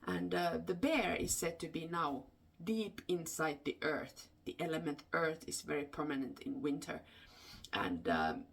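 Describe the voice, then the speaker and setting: A woman with a Finnish accent, a native Finnish speaker, telling folklore unscripted in a halting way, searching for her words. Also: deep voice, animated, vocal fry, husky. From Finland. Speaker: storyteller. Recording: distant microphone.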